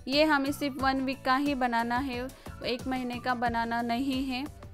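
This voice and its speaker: High-pitched woman narrating with a lilt. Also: little pitch movement, a little slow. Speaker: home cooking vlogger. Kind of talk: casual recipe voice-over narration. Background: background music.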